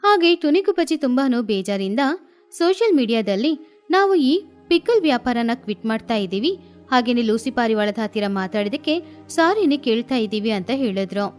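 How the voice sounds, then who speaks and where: A woman narrating a children's cartoon story in a steady, explanatory way. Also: on the high side, moderate pace. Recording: faint music behind.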